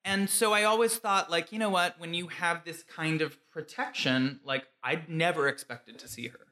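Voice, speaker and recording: A man's voice: on the high side, fairly even intonation, moderate pace, bright, forceful tone. A man co-hosting a casual chat, telling an anecdote animatedly, laughing often and using "like" a lot. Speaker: podcast co-host.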